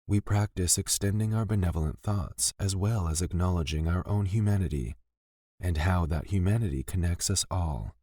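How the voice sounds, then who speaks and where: Male meditation guide, low-pitched, gentle and unhurried delivery of scripted lines, very dry close sound. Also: dry recording. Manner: gentle, soothing, deliberate with pauses between phrases.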